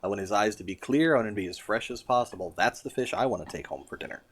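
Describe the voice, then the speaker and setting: A man's voice: fairly low pitch, very fast, breathy edge. A man from Southwest Florida co-hosting a fishing show, explaining things in a plain, reasonable way. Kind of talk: casual chat.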